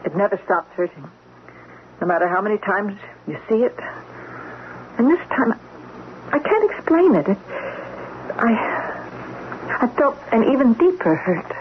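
A woman's voice: medium pitch, expressive, a little slow, long pauses, natural voice. An actress playing an earnest, troubled woman doctor in a radio drama, speaking in a hesitant, conversational way. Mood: troubled, sad. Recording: dull, noisy old radio recording.

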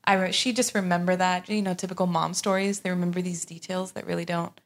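A young woman with a fairly low voice, from Sweden, chatting as a podcast guest. She talks in a loose, unhurried way and fills her pauses with ums and uhs. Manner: relaxed, hesitant with frequent ums and uhs. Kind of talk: casual conversational storytelling.

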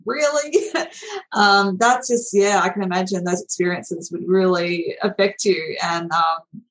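A woman's voice: fairly low pitch, unhurried, low-quality recording. Affirming woman podcast host. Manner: warm, affirming, chatty with fillers. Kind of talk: podcast interview.

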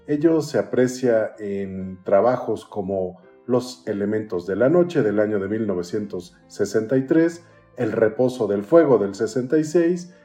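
A man hosting a literary podcast, reading an introduction and an author biography in a formal, measured way. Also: lowish voice, warm tone. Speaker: podcast host.

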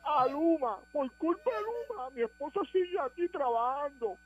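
A Puerto Rican man calling into a radio show, telling a joking story with lively delivery. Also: very high voice, normal speed. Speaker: caller. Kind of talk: call-in radio chat. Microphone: phone. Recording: dull phone-line call with music.

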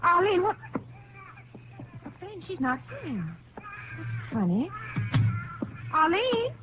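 An actress playing an easygoing, protective rancher's wife in a 1950s radio drama. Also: female voice, very high-pitched, sing-song, extremely slow, full character voice. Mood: worried. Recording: noisy, tinny old radio broadcast recording.

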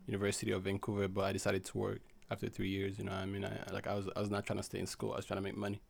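A man's voice: fairly low pitch, monotone, very fast, creaky. A young man from Haiti, a guest chatting casually in a laid-back, slangy, rambling way full of fillers. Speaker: podcast guest. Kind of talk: casual chat.

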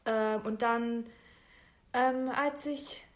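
Young woman, higher voice, supportive co-host. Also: fairly even intonation, extremely slow. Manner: supportive, asks follow-up questions. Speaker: podcast co-host. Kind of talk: casual chat.

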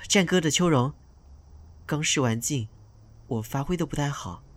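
A man's voice: fairly high pitch, lively intonation, normal speed. A man playing a polite, ingratiating young newcomer actor in an audio drama, speaking in a deferential way. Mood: ingratiating, smug. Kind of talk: modern radio drama acting.

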